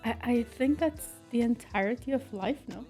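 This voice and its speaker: A woman's voice: a little high, moderate pace, mellow, soft background music. A woman studio guest talking frankly and reflectively in casual chat.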